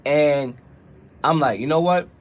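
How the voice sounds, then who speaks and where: A man's voice: a little high, measured pace, slight background noise. A man hosting a casual sports debate, making his points emphatically with repeated rhetorical questions. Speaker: radio show host.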